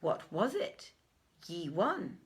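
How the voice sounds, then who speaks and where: A woman's voice: on the low side, wildly swooping pitch, slow pace, breathy edge, full character voice. A woman reading a storybook, voicing a stern, authoritative warrior prince with formal, commanding speech.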